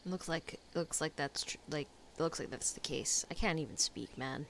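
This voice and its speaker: A woman's voice: deep voice, moderate pace. A woman streamer giving casual live reactions while she plays a video game. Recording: clear noise and game sound behind.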